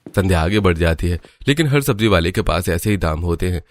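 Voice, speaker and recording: A man's voice: deep voice, expressive, a little fast, no echo, clean studio sound. A man narrating a scripted cartoon story. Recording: music behind the voice. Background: background music.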